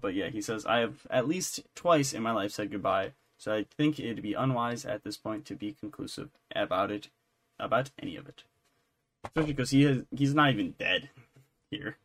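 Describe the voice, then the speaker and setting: A man's voice: mid-range voice, a bit bright. A man co-hosting a podcast, chatting casually about pop culture and thinking aloud as he rambles. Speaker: podcast co-host.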